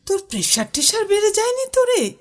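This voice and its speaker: An actress playing a doting, chatty elder sister in an audio drama, affectionate and fussing. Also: female voice, extremely high pitch, sing-song, fairly quick. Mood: alarmed, concerned. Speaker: supporting character.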